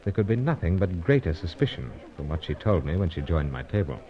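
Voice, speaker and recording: Clipped, low-voiced British secret agent. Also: male voice, sing-song, brisk. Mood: pondering. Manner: clipped sentences with dropped words, understated. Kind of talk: old-time radio adventure serial acting and narration. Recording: dull, noisy old radio recording.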